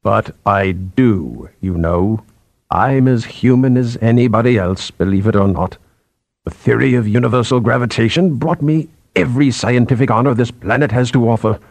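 An austere old man of science, acted by a male audiobook reader, speaks with commanding weight. He is exasperated and emphatic, in a medium-pitched voice with a very dry sound. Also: normal speed, darkish tone, no room sound, natural voice. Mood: reflective, frank. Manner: ominous, emphatic.

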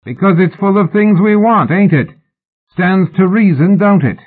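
A man voicing a brash ringleader posing as a drunken rascal in an audiobook, with rough, jovial shouting. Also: high-pitched, animated, normal speed, narrow, tinny audio, pitched above the performer's own voice. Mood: rowdy, shouting. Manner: rough, jovial shouting, dropping to quiet command. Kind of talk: audiobook character acting.

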